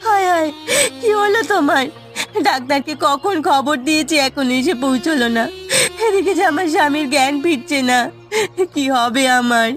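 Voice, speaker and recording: A woman's voice: high-pitched, lively intonation, normal speed, soft background music. A woman voicing an anxious, remorseful village wife in a cartoon, plaintive and melodramatic. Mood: distraught. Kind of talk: cartoon voice acting.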